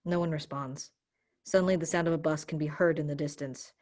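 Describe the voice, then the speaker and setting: A woman's voice: sits low, fairly even intonation, brisk, darkish tone. A woman giving scripted narration, reading stage directions for a play reading.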